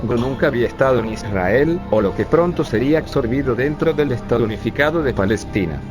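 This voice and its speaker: A man's voice: mid-range voice, expressive, fast, natural voice. A synthetic male voice voicing a professor who bitterly recalls his days as a young refugee. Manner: bitter, sarcastic storytelling. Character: urban planning professor recalling his youth. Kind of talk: scripted narration. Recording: music bed underneath.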